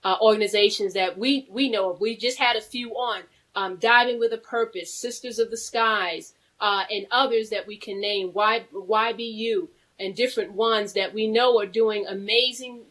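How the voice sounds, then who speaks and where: A woman's voice: medium pitch, steady tone, moderate pace, hardly any pauses, fairly bright tone, rough recording. A woman hosting a casual live interview, talking in an informal, playful way. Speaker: talk show host.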